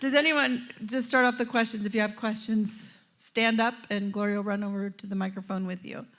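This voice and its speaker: A woman's voice: mid-range voice, fairly even intonation, brisk, fairly bright tone. A woman moderating a panel at a church women's event, chatty and casual, laughing often and trailing off with fillers. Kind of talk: casual hosting, friendly and talkative.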